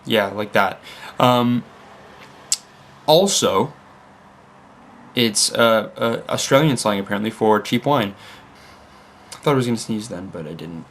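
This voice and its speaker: A man's voice: fairly low pitch, lively intonation, a little slow, pauses often, fairly bright tone. Young vlogger, American accent with Leeds creeping in, rambling casually at his desk. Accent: mixed American and Leeds. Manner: rambling, self-interrupting, with fillers. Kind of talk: casual chat to camera. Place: home room at a computer desk.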